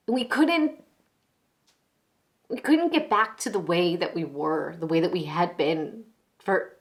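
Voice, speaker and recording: A woman playing a defensive, cracking young woman under interrogation in a dramatic monologue, scattered, halting and pleading, close to breaking down. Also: medium pitch, pitched above the performer's own voice. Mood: defensive, agitated. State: distraught, breaking into tears.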